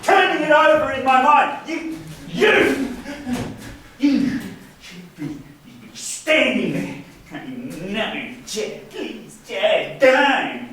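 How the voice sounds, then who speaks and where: A man acting in a stage play as a vengeful, unhinged brother, ranting and stammering, swinging from hiss to shout. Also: very high voice, big pitch swings, drawn-out words, exaggerated voice. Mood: bitter, raging. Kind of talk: amateur one-act stage play acting. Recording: echoey room from far off, noisy.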